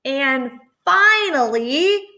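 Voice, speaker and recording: Animated woman reading a picture book aloud. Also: sits high. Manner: animated, deliberate, dramatic emphasis. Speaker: storytime reader. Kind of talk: expressive children's picture book read-aloud with chatty asides.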